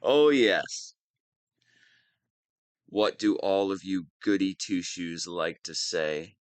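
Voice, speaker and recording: Pompous, whiny wannabe supervillain, slow-paced. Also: male voice, deep voice, animated, clean tone, no echo. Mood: gloating. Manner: pompous monologuing that slips into whining. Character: whiny, theatrical wannabe supervillain, smug but petulant. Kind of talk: scripted audio roleplay monologue.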